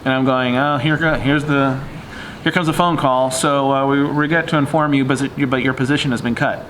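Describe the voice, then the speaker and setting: A man co-hosting a casual vlog, telling a story haltingly and acting out remembered dialogue. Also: mid-range voice, steady tone, fairly bright tone, poor audio. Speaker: vlog co-host. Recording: faint background noise.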